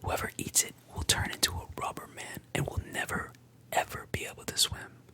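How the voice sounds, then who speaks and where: A whispering narrator voicing a worked-up pirate captain in a breathy hush. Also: fairly quick, close to an everyday voice. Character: brash pirate captain, adult man.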